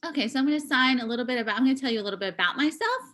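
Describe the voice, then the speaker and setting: A woman giving a live spoken interpretation of a signing performer, speaking in halting bursts with pauses and restarts, over a video call. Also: high-pitched, animated, fairly bright tone. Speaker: sign language voice interpreter.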